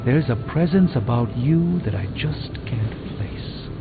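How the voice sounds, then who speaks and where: Refined self-assured sorcerer, middle-aged, lively swooping intonation, formal, dryly wry, video game dialogue, music behind. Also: male voice, mid-range voice, slow. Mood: courteous, appraising. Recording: thin phone-like band, music behind.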